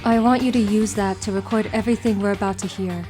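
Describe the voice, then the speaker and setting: A woman plays a calm, analytical girl detective in an anime fan dub. Her delivery is measured and formal, at a middle pitch, each line placed deliberately, with music underneath. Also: natural voice. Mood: calm, probing.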